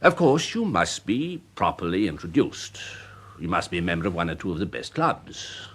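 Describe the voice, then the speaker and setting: Pompous upper-class older English gentleman. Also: low-pitched, big pitch swings, husky, exaggerated voice. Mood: pompous, worldly. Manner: pompous, deliberate, with hesitations. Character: worldly, pompous older gentleman uncle, proud of his nephew. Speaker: actor in a radio drama. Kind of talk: period radio drama acting.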